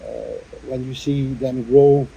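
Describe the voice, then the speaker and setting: A man, a workshop coordinator, giving a reflective interview answer in a halting way, with many fillers and restarts. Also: medium pitch, level tone, dull audio, slight background noise.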